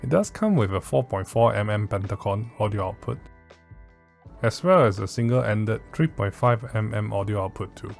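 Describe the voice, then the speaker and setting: A man's voice: lowish voice, expressive, moderate pace, creaky, soft background music. A man hosting a tech review in a casual, conversational way, with emphatic stresses and self-correcting asides. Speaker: tech review video host.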